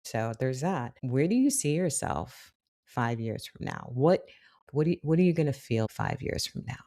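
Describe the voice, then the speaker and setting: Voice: medium pitch, very animated, moderate pace, gravelly, mellow. An interviewer asking short, open questions.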